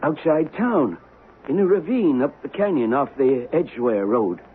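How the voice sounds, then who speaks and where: A nervous, hesitant shopkeeper from an old western radio play. The American voice is fairly high and swings up and down a lot. It sounds boxed into a narrow vintage recording. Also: male voice, animated, measured pace, a little hiss or hum. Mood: insistent. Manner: anxious, hesitant. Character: nervous, pleading western shopkeeper Clem, hired gun. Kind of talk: 1970s radio drama acting.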